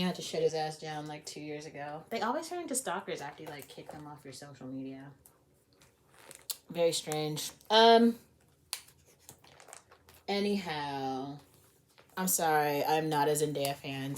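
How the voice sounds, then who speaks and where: A woman's voice: low voice, slight background noise. A woman hosting a read-along in a casual, gossipy, slangy way, sometimes dropping into whispers as she chats and reads aloud. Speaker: host reading a book aloud.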